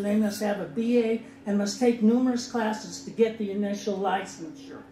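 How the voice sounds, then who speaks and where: A man whose first language is Spanish, an attorney giving earnest public testimony at a hearing, halting now and then to restart. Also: sits high, warm tone. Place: hearing room. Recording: echoey room with faint background noise.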